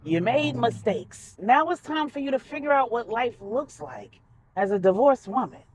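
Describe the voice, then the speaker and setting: A woman storyteller acts a best friend offering tough comfort in a drama story. She is frank and matter-of-fact, with exasperation and expressive pitch. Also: normal speed, a little hiss or hum, close to an everyday voice.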